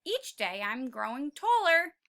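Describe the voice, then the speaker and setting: A woman's voice: sits high, big pitch swings, unhurried, a bit bright, exaggerated voice. A woman voicing a tiny, earnest young male T. rex in a picture book, determined and emphatic.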